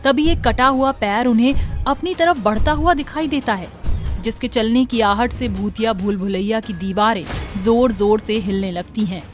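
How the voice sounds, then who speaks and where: A woman's voice: on the high side, moderate pace. Woman narrating a horror story over music. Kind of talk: dramatic scripted horror narration. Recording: music under the voice.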